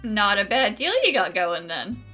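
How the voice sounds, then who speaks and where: A woman's voice: mid-range voice, lively intonation, unhurried, bright, forceful tone, faint music behind, rough recording. A woman giving casual, teasing, giggly commentary while playing a video game. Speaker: gaming streamer. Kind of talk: casual game playthrough commentary, reacting aloud.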